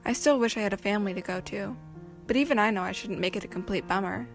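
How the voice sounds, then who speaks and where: Woman playing a creaky, subdued, lonely teenage girl. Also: fast, faint music behind, close to an everyday voice. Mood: wistful. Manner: downbeat, low-key.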